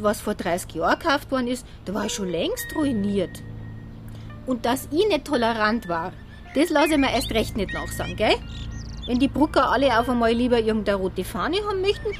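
An actress as a sharp-tongued Bavarian shopkeeper, high-voiced. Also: female voice, close to an everyday voice. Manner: dry, sharp-tongued banter. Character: quick-witted, thick-skinned female small-town shopkeeper. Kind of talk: radio drama acting in dialect.

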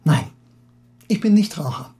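A man playing a talkative, excitable Russian émigré husband who philosophizes eagerly and halts for words in acted audiobook dialogue. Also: sits high. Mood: eager, chatty. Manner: garrulous, halting when searching for words.

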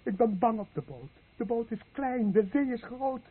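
A man's voice: extremely high pitch, lively intonation, normal speed, husky, full character voice. An actor playing a skittish, stubborn fisherman in a radio play. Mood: panicked. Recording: tinny, noisy old radio recording.

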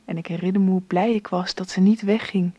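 A woman's voice: medium pitch, steady tone, brisk, mellow, dry recording, close to an everyday voice. An actress playing a grown woman narrating memories of her childhood in a radio drama. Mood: reflective. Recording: slightly muffled radio recording.

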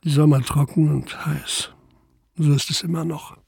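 A dutiful Prussian policeman of 1900 in an audio drama. His voice sits fairly high for a man, and he speaks formally and carefully, deferring to his superiors. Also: little pitch movement, normal speed, gravelly, a little mellow, breathy edge, full character voice. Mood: sombre, reflective. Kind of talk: audio drama narration and dialogue.

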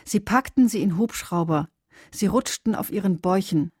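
A woman reciting poetry for a radio drama in deliberate, weighted, declamatory phrases. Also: mid-range voice, darkish tone, breathy edge, no echo, clean studio sound, natural voice. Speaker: reader in a radio adaptation of a poem cycle.

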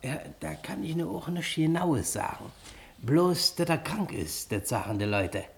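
A man's voice: fairly high pitch, lively intonation, a little slow. A man playing a dutiful, subservient office clerk in a German radio play, cautious yet gossipy and eager to please.

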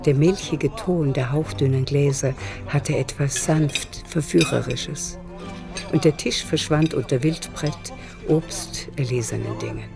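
A man narrating a literary radio play in a measured, deliberate manner. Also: fairly high pitch, vocal fry, over music.

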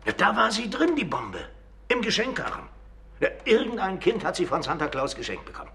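An actor playing a stern, driven New York police captain in a German radio drama, insistent like an interrogator. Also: male voice, sits high, very animated, fairly quick, pushed and bright, exaggerated voice. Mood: urgent.

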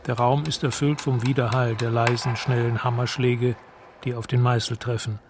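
A man narrating a scripted radio drama in a measured, matter-of-fact way, describing scenes in the present tense. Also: mid-range voice, level tone, noisy background.

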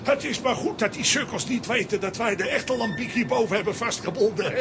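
High-voiced sneering cartoon henchman. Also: brisk, over music. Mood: gleeful. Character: gleeful, cackling male cartoon henchman villain. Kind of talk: children's audio drama acting.

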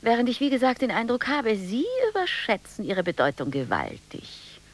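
An actress playing an indignant, dismissive dreaming housewife in a German radio play, emphatic and theatrical, dropping into hushed asides. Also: female voice, a little high, very animated, moderate pace.

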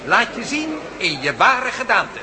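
A man's voice: high voice, sing-song, unhurried, very noisy. Een man die in een hoorspel een bevlogen, vastberaden professor speelt, formeel en nadrukkelijk declamerend. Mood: solemn, declaiming. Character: impassioned, determined male professor championing a revolutionary theory. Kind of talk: Dutch radio play acting.

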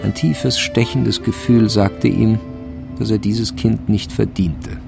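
Gravelly, low male crime narrator. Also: takes it slowly. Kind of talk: scripted crime radio drama narration. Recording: music under the voice.

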